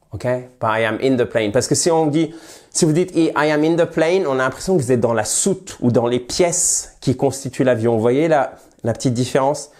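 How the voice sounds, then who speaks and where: A man teaching English phrases in French, with a head cold, lively and friendly, slowing down to model the English. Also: medium pitch. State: has a cold, slightly nasal voice. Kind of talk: bilingual language lesson at a whiteboard.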